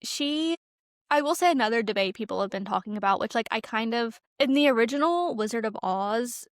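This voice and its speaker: A woman's voice: fairly high pitch, expressive, fairly quick, dry recording. Chatty woman co-hosting a podcast, dry close sound. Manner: chatty, heavy use of "like". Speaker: podcast co-host. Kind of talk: casual podcast chat.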